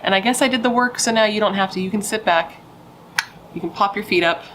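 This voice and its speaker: A woman's voice: medium pitch, fast talker, a bit bright, slight background noise. A young adult woman who is pregnant, telling true crime stories in a loose, playful and chatty way. She swears casually and adds dramatic asides. Her voice sits mid-range with faint room ambience behind it.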